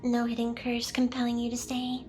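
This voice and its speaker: A high, feminine voice for a demon boss , acted out by a woman. She is smug, teasing and possessive, delivering her lines with theatrical seduction in an ASMR roleplay. Soft music plays faintly underneath. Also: monotone, normal speed, close to an everyday voice. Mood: intense, vulnerable.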